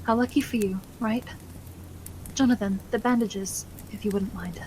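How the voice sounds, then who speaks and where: A woman's voice: mid-range voice, steady tone, normal speed, dark tone, close to an everyday voice, rough recording. A woman playing a genteel, caring wife who tends the wounded in an ASMR roleplay, with tender, old-fashioned politeness. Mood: tender, affectionate. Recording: faint noise, rain behind the voice. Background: rain.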